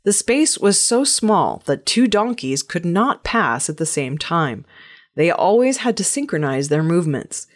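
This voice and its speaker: A woman news presenter reading scripted news stories in a measured, clearly enunciated way. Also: lowish voice, big pitch swings.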